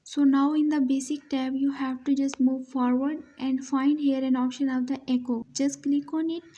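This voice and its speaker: A woman's voice: high-pitched, mellow. A woman narrating a scripted step-by-step how-to tutorial as its host.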